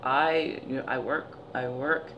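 A woman in her forties with a deep, gravelly, tired-sounding voice, chatting casually as a vlogger in her living room. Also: measured pace, lo-fi sound, background noise. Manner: chatty, animated storytelling. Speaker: beauty vlogger. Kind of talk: casual product haul chat. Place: living room by window blinds, indoors.

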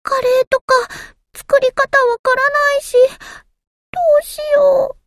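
A woman's voice: very high-pitched, level tone, slow pace, creaky, a bit bright, dry recording, full character voice. A woman voicing a small, timid, earnest anime game submarine girl, with a thin childlike voice and a hesitant, polite manner. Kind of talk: anime game character voice lines.

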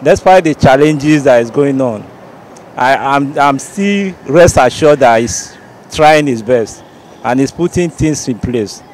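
A man's voice: medium pitch, a little slow, darkish tone. A Nigerian man in his thirties with a Nigerian accent, answering a street interview earnestly and steadily, stumbling now and then. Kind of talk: vox pop street interview. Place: busy city roadside outdoors. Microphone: handheld reporter mic. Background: traffic and street ambience.

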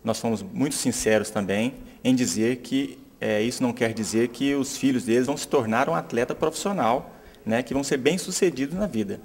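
A man's voice: mid-range voice, a little fast, darkish tone. Earnest man in an indoor interview. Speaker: youth football club official. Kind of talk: interview statement. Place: indoor club room. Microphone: handheld interviewer mic.

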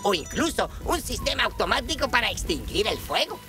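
A woman giving scripted educational narration in a clear, explanatory way. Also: a little high, very animated, a little fast. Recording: music playing under the narration.

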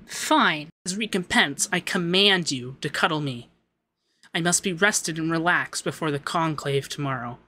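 A man voicing a haughty young femboy demon lord, pompous but easily flustered and secretly soft, in an ASMR roleplay. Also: on the high side, slight background noise. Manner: pompous commands that slip into flustered cooing.

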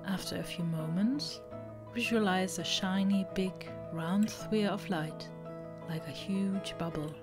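A woman reading a guided meditation, giving slow, deliberate instructions in short phrases. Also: mid-range voice. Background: relaxing background music.

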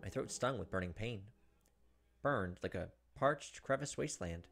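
A man, perhaps in his thirties, reading visual novel narration aloud and commenting, with dramatic, halting delivery. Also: lowish voice, expressive, vocal fry, fairly bright tone. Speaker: gaming video host. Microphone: desk microphone close up. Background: game music.

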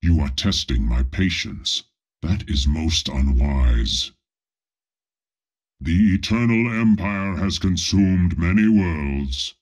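Dark armored space lord for a game: husky, unhurried, imperious, drily pompous menace. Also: male voice, low voice, full character voice. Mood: grave menace. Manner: slow, imperious, pompous, with deadpan comic asides. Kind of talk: video game character voice lines.

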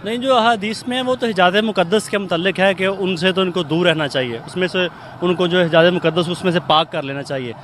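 A man's voice: high-pitched, fast, a bit bright. A man giving his view in an outdoor street interview. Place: open street at night. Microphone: handheld. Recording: outdoor street, traffic and sirens.

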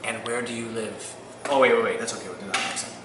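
A man's voice: fairly low pitch, steady tone, quick pace. A man in his thirties, an English teacher playfully coaching children as they record lines. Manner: playful, prompting and directing. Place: small classroom, indoors. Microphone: camera mic from across the room. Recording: echoey classroom from far off, noisy.

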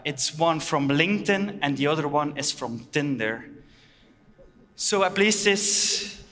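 A man gives a conference keynote on a headset mic. He speaks plainly and haltingly, with many filler uhs. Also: a little high, sharp tone, slight background noise. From Belgium. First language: Dutch. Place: large hall stage. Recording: long reverb of a large hall.

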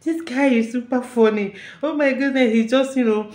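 A woman's voice: a little high, moderate pace, a little mellow. A woman hosting a reaction vlog from a room at home, speaking effusively into an earphone mic. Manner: effusive, exclaiming. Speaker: reaction video host. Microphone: wired earphone mic. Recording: echoey room, comedy clip playing behind.

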